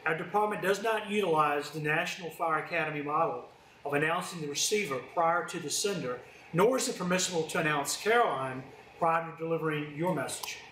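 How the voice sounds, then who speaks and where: A man's voice: on the high side, fairly quick, pushed and bright. A man giving a formal, scripted training presentation as a fire department instructor. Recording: roomy, distant mic, faint noise.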